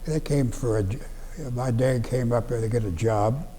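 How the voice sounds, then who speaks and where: A man in his nineties from Ohio, recalling his youth in a slow, halting way with many restarts. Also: medium pitch, warm tone, long reverb tail, a little hiss or hum. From Ohio, USA. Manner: halting, plain-spoken, frequent restarts and fillers. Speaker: veteran interviewee. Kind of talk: oral history recollection. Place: library room.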